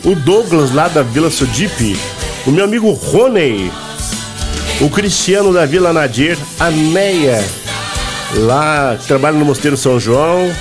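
A man hosting a radio show, nasal from a cold, chatty as he reels off greetings to listeners. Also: on the high side. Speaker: radio host. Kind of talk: casual radio shout-outs.

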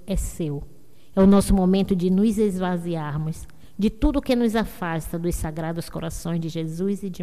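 A woman's voice: fairly low pitch, dark tone. Solemn woman leading radio prayers. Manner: slow, solemn, intoning. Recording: long reverb.

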